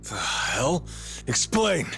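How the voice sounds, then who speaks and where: An actor playing a brash, rough-spoken yakuza man in a game dub. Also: mid-range voice, wildly swooping pitch, drawn-out words, pushed and bright, faint music behind, full character voice. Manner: brusque, rough-edged. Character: tough, brash yakuza man with a protective streak.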